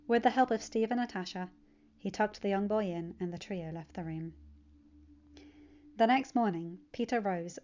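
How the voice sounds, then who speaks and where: A woman narrates a piece of fiction in a scripted storytelling style. Her voice sits at an average pitch for a woman. The recording is a little muffled. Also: a little mellow.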